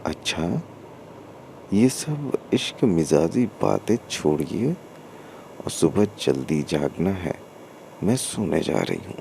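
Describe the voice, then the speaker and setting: A man's voice: deep voice, very animated, slow, creaky, long pauses, darkish tone, a little hiss or hum, full character voice. A man voicing a coy young married woman with a secret lover in a story. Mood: coy, dismissive.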